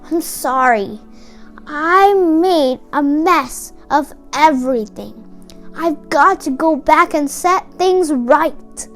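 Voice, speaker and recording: A woman's voice: high voice, slow pace, a bit bright, faint music behind, heavily acted. A woman voicing an earnest, apologetic young male storybook hero in a plain, regretful way.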